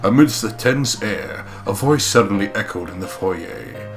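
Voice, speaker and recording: Low-pitched man narrating crudely over music. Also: expressive, measured pace. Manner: crude, sweary, mocking humour. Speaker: let's play co-host. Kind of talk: irreverent narration of a visual novel.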